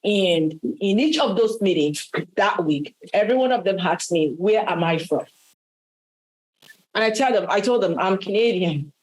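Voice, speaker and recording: A woman's voice: medium pitch, expressive, moderate pace, low-quality recording. A woman with a Nigerian accent telling personal stories in a workshop discussion, self-assured and acting out dialogue as she goes. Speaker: workshop speaker.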